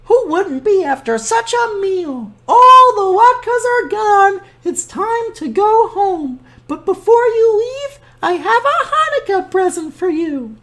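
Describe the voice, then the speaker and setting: Lady putting on a sweet fussing old woman, squeaky high and bouncy, slow storybook read. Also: big pitch swings, fairly bright tone, exaggerated voice. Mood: cheerful. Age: older. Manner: sing-song, high and chirpy. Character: kindly, doting old woman, cheerful and fussing. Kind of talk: storybook character voice.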